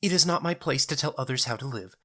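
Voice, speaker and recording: A man voicing a gentle, petite, devout woman in an audio drama, speaking politely and formally. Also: mid-range voice, fast, voice pushed up. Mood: meek.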